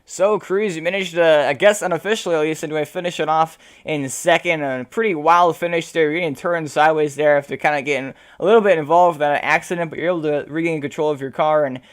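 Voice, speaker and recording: Hoarse man interviewing race drivers. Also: fairly high pitch, fairly even intonation, quick pace, few pauses. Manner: rambling with many fillers. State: losing his voice. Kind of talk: sim racing post-race interview.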